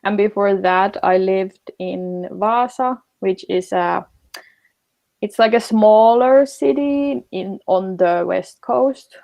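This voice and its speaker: Woman, young, creaky gravelly voice, casual filler-heavy talk. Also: mid-range voice, slow, lo-fi sound. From Finland. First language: Finnish. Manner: chatty, many fillers, light laughs. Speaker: guest. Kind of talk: casual interview answers.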